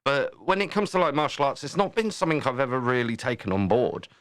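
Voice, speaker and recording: A man's voice: medium pitch, big pitch swings, fast, a bit bright, no room sound. A man hosting a casual podcast interview, talking in a chatty, thoughtful, rambling way. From southern England. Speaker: podcast host.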